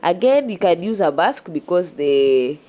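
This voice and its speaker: A young Kenyan woman with a Kenyan accent narrating her outdoor cooking vlog in a relaxed, chatty way, a little stuffy from a cold. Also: lowish voice, unhurried. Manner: relaxed and chatty, with frequent "yeah" and restarts. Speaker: cooking vlogger. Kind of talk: casual voice-over narration of a cooking video.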